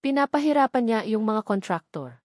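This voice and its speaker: A woman's voice: on the high side, animated, a little fast, a little mellow, no room sound, lightly acted. A weary young husband in a romance audio drama, voiced by a woman narrator. He sounds troubled and worn down, in a dry, close recording.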